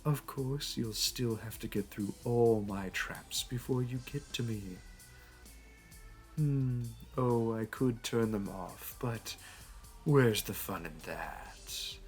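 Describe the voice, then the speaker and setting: A man's voice: medium pitch, slow pace, lightly acted. A man playing a suave, flirty supervillain in an audio roleplay, with a teasing, purring, theatrical delivery. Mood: taunting, playful. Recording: music under the voice. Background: music.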